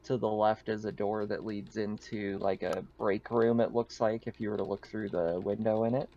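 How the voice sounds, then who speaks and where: A man's voice: lowish voice, level tone, normal speed, warm tone, highs cut off. A man improvising casual, informal narration as game master of a tabletop roleplaying game, with some swearing.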